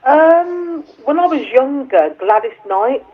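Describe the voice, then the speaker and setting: A woman with a deep voice talks casually about her singing, friendly and a little unsure of herself, with plenty of ums. Her voice comes through a thin, tinny phone line. She sits fairly high for such a low-toned voice. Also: animated, takes it slowly. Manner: chatty and a little self-deprecating, hesitant fillers. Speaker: singer being interviewed. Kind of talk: casual chat over the phone. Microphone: telephone.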